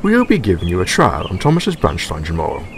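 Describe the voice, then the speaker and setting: A man voicing a stout, formal male railway boss in a storybook, slow and stately. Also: low-pitched, wildly swooping pitch, gravelly, heavily acted. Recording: background music.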